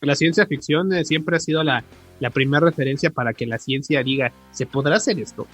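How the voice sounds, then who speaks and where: Casting: Mexican male podcast co-host, slightly high husky voice, emphatic and slangy, music underneath. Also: fairly quick, fairly bright tone. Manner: emphatic, repeats words for stress. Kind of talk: casual, slangy podcast chat. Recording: music behind the voice.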